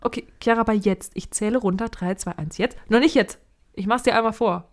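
A woman's voice: a little high, a little fast. Chatty joking woman co-host. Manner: chatty, joking, bossy when counting down. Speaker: podcast co-host. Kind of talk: improvised spy role-play chat.

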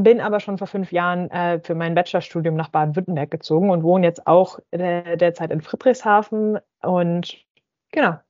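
Eine Frau in den Zwanzigern aus Hamburg, die als Gast locker im Interview erzählt. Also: female voice, lowish voice, a little mellow, no echo, lo-fi sound. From Hamburg, Germany. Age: 20s. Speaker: student founder, guest. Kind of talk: casual interview talk.

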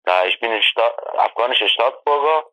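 A man who grew up in Iran, answering interview questions in halting speech full of restarts, heard over a video call from his family's apartment. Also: medium pitch, animated, dry recording. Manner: halting, restarts and self-corrections. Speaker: interview guest. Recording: dry lo-fi video call audio.

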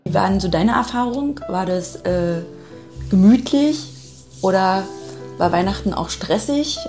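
A woman's voice: mid-range voice, expressive, a little slow, darkish tone, faint music behind. A middle-aged woman, hosting a homemade audio piece and casually telling personal stories. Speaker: host of a private homemade audio play.

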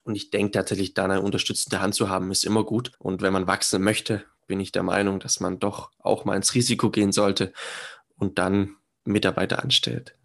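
A man's voice: sits low, little pitch movement, brisk, creaky. A man in his twenties answering interview questions about his business in an earnest, businesslike way. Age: 20s. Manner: earnest, businesslike, laughs at his own remarks. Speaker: young real estate business owner, guest.

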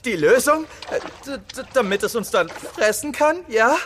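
A woman's voice: on the high side, expressive, moderate pace, slight background noise. A woman playing a nervous, cheeky young boy adventurer in a children's audio drama. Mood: hesitant, curious. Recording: loud sound effects behind.

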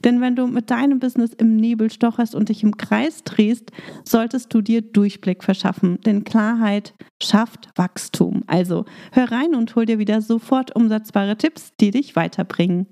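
Woman podcast host, mellow fairly high voice, slightly hoarse from a cold, upbeat coaching delivery. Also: normal speed. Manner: upbeat coaching tone, with fillers in free talk. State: recovering from a cold, voice slightly rough. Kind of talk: scripted intro then casual monologue.

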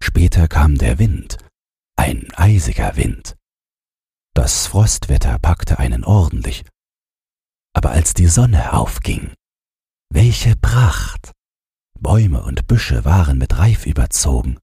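A man reading a fairy tale as an audiobook narrator, in a gentle bedtime style. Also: a bass voice, big pitch swings, slow pace, creaky, pauses often, slightly rough, dry recording, studio quality.

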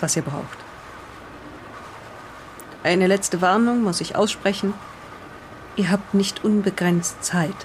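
A woman voicing a proud professor's grave letter to heirs, read solemnly and deliberately in an audio drama. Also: lowish voice, slight background noise, natural voice. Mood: stern, warning.

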